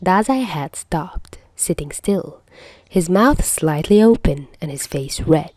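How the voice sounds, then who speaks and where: A woman reading fan fiction aloud as an expressive amateur audiobook narrator. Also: fairly low pitch, slow, no room sound.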